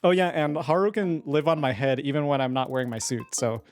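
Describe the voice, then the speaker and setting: A giggly, chatty streamer talks casually in a mid-range voice, rambling with plenty of filler words. Soft music plays quietly underneath. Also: medium pitch, fairly even intonation, brisk. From United States. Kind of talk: casual live-stream chat.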